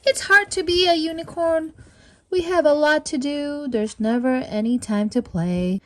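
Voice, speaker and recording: A woman's voice: high-pitched, measured pace, fairly bright tone, pitched above the performer's own voice. Woman as a plaintive, overworked storybook unicorn.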